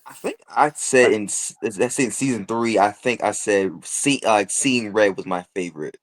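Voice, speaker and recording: A man's voice: on the low side, normal speed. A man chatting casually as a co-host on a podcast.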